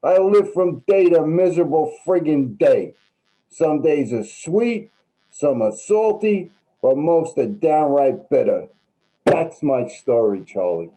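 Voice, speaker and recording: Male actor as downtrodden street character, theatrical and resigned, very mellow timbre, higher pitch, for a stage drama. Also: lively intonation, slow, low-quality recording. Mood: bitter, resigned. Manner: folksy, wry, theatrical. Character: downtrodden, colorful homeless man, resigned but friendly. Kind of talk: staged reading of a play.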